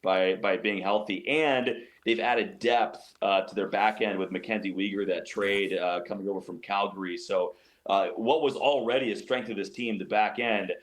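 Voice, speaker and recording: A man's voice: lowish voice, steady tone, normal speed. A man giving sports analysis as an interview guest, explaining his points emphatically and often repeating phrases. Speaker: radio play-by-play announcer as guest.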